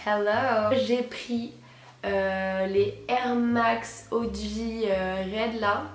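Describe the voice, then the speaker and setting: Young female vlogger. Medium pitch, husky from a cold, slangy enthusiastic chat, echoey room, faint music. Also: steady tone, very slow. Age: teens to young adult, high school student. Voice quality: hoarse, husky. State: hoarse with a cold. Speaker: sneaker vlogger presenting purchases. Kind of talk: casual chat to camera, slangy. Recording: roomy echo, music behind.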